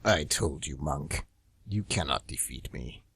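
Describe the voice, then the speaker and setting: A man voicing a smug demonic flamingo villain with a slow, taunting delivery in an amateur radio play. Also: a bass voice, animated, gravelly, a bit bright, slightly rough, no room sound, voice pushed down, exaggerated voice. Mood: menacing.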